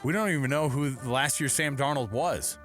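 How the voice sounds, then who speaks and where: An American man co-hosting a sports talk show, casual and slangy, joking and excitable as he gives his commentary. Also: medium pitch, moderate pace, soft background music. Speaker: sports radio co-host. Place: radio studio.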